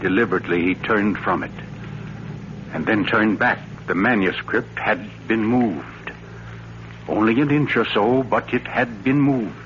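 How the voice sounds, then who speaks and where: An actor playing an author who narrates a suspense tale in a measured, ominous, dramatic 1940s radio style. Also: male voice, on the low side, a little hiss or hum, lightly acted. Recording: dull old radio broadcast recording.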